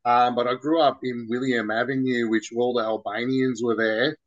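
A man's voice: medium pitch, flat delivery, moderate pace, dull audio. A man with an Australian accent, chatting loosely as an interview guest, full of "man" and "bro". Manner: rambling, loose and informal, lots of "man" and "bro". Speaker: podcast guest. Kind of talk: casual interview talk.

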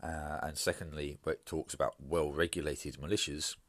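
A man with an extremely deep, low voice hosts his solo podcast, speaking in a measured, halting manner full of "uh"s and restarts. He sounds stuffy and bunged up from hay fever. The recording is close and dry. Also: fairly even intonation, no room sound. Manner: measured, hesitant with fillers and restarts. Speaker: podcast host.

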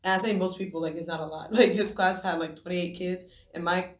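A woman's voice: fairly low pitch, steady tone, fairly quick, reverberant. A woman in her twenties from Japan, a podcast guest telling her story in a casual, rambling way with many restarts. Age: 20s.

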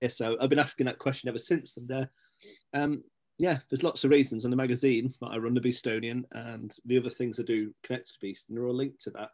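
Middle-aged guy rambling on in an interview, ums and restarts everywhere, ordinary pitch. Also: steady tone, fairly quick, a little mellow. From Scotland-born, raised in Stapleford, England. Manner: rambling, many fillers and restarts. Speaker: guest, local magazine editor. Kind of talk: casual interview chat.

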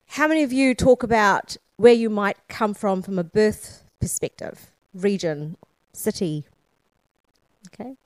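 A woman's voice: mid-range voice, unhurried, darkish tone, no echo, low-quality recording. A woman from Sri Lanka, Scotland and New Zealand giving a conference keynote, using rhetorical questions and emphatic repetition. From born in Sri Lanka, raised in Scotland and New Zealand. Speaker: keynote speaker, founder.

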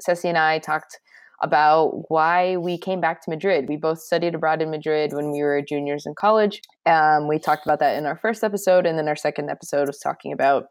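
A young woman co-hosting a podcast in a relaxed, easygoing way, laughing often. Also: low voice, fairly quick, dry recording, studio quality. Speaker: podcast co-host. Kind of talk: casual chat.

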